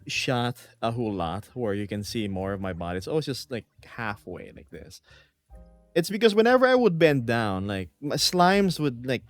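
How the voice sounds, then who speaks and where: A man's voice: medium pitch, dry recording. A man in his thirties streaming from home, chatting casually and reading chat messages aloud with frequent laughs.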